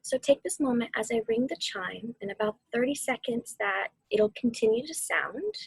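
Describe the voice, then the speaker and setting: A soft, breathy-voiced woman speaking gently and without hurry, as a mindfulness guide reading and instructing for children. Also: fairly high pitch, sing-song, dry recording, poor audio. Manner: soothing, unhurried, softly spoken. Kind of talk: gentle guided meditation and read-aloud.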